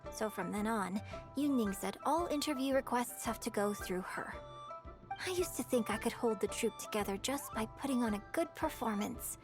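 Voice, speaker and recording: An actress voicing a poised young opera star in a game, gracious but weary, with measured, refined delivery. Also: female voice, fairly high pitch, expressive, music in the background. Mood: weary, resolute. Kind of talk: voice-acted game cutscene dialogue.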